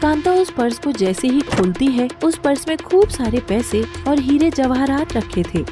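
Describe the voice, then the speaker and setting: A woman narrating a children's cartoon moral story in a steady storytelling way. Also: sits high, normal speed, music in the background.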